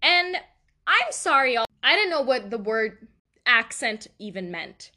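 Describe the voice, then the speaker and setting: A woman with an accent talks through a casual solo podcast, her voice sitting fairly high. She takes her time, wandering between thoughts and breaking into laughter at her own stories. The tone is loose and self-mocking. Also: sing-song, measured pace, pushed and bright. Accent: non-native accent. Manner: rambling, self-deprecating, laughs often. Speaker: podcast host.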